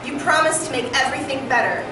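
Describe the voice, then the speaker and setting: Casting: woman as indignant small creator in sketch comedy, high-pitched, demanding, over clear music. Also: animated, quick pace. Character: angry demanding female small video creator protesting. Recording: echoey room, clear music behind.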